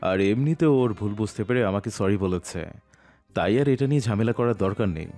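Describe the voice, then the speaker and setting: A man acts out a young male university teacher with a lowish voice. He is stern and composed, speaking with measured authority in an audio story. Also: expressive, warm tone, faint music behind, natural voice.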